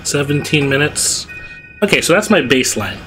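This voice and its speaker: A man's voice: on the high side, lively intonation, moderate pace, pushed and bright. A young man giving casual, laid-back gameplay commentary in a hesitant way, recorded in a room at home. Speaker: game streamer. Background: game music.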